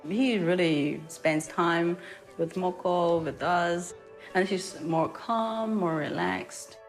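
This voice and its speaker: A young woman with a lowish voice. She speaks casually and a little hesitantly, with fillers. Faint music sits behind her. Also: slow pace, poor audio. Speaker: interviewee, daughter.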